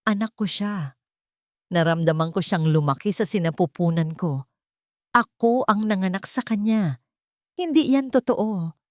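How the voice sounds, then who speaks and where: A woman's voice: medium pitch, a little slow, pauses often, no room sound, clean studio sound, natural voice. A woman voicing a distraught mother in an audiobook, pleading and insistent in her denial. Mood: anguished denial. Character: distraught mother refusing to believe bad news. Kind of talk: audiobook character acting.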